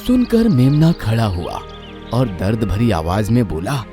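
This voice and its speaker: A man's voice: fairly low pitch, wildly swooping pitch, unhurried, music in the background. A man narrating a scripted children's cartoon story in a dramatic storytelling style.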